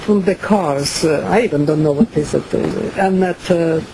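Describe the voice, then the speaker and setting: An older woman from Ukraine with a Ukrainian accent, giving slow, halting personal testimony full of fillers and pauses. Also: on the low side, noisy background. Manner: many pauses and fillers, non-native phrasing. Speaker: survivor giving a talk.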